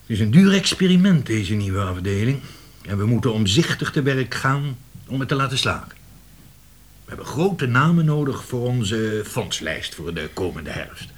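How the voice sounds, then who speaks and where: Husky, cajoling company director. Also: male voice, mid-range voice, sing-song. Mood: earnest, insistent. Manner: persuasive, emphatic, with dramatic pauses and repetition. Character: blustering, cajoling male publishing director of old family firm. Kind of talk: radio play acting.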